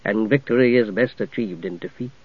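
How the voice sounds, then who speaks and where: A man voicing a hushed ghostly old knight. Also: fairly low pitch, voice pushed down, close to an everyday voice. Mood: solemn. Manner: slow, hushed, riddling. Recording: dull, dry recording.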